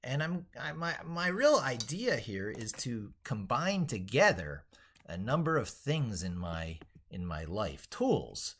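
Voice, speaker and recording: A man's voice: mid-range voice, big pitch swings, slow, fairly bright tone, a little hiss or hum. A man hosting a casual, unscripted livestream, rambling as he thinks aloud. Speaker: livestream host.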